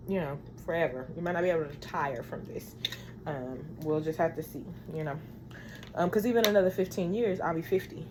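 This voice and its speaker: Woman, 30s, vlogger, casual rambling chat, slightly low voice, faint background hiss. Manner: rambling, chatty, addresses viewers as y'all.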